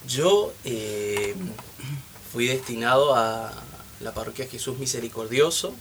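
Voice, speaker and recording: A man's voice: medium pitch, animated, slow, a bit bright, a little hiss or hum. A young man, a newly ordained priest, speaking hesitantly in a radio interview and laughing often.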